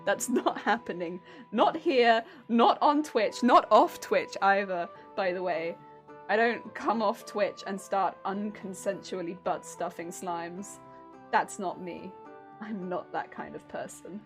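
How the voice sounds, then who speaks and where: A woman's voice: mid-range voice, slow pace, faint music behind. A young woman from Hampshire with a southern English accent, chatting casually and giggling while she sews on a craft stream. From Hampshire, England. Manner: giggly, rambling, chatty with frequent laughs. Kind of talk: casual live-stream chat while sewing.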